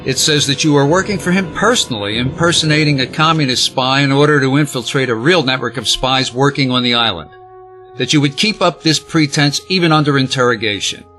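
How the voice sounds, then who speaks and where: A man's voice: mid-range voice, brisk, sharp tone, faint music behind, close to an everyday voice. A man playing a stiffly patient police interrogator in an audio drama, formal and official. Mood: apologetic, firm.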